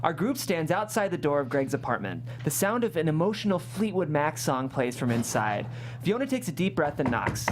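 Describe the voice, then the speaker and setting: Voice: mid-range voice, big pitch swings, normal speed, soft background music. A person narrating stage directions in a scripted read at a table read. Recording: hum and background noise.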